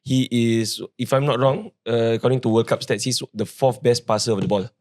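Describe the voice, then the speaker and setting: A man hosting a casual football talk show, his voice hoarse from shouting, often jumping in on his co-hosts. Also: mid-range voice, steady tone, a little fast, dry recording, clean studio sound. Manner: chatty, interjects often. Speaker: podcast host.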